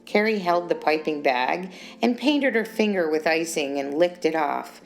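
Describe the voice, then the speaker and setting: Female author-narrator, fairly low register, reading romantic short fiction over soft music. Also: animated, measured pace, creaky, rough recording. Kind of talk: scripted audiobook narration.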